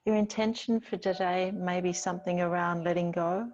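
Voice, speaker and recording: A woman teaching yoga, giving gentle, soothing, unhurried guided instruction with pauses between phrases. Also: lowish voice, steady tone, lo-fi sound.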